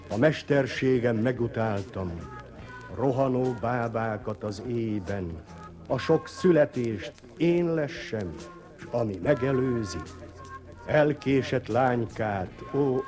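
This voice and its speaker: A man's voice: medium pitch, darkish tone. Man theatrically reciting poetry over music. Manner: theatrical, weary, brooding delivery. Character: weary old tower clock, bitter watchman of centuries.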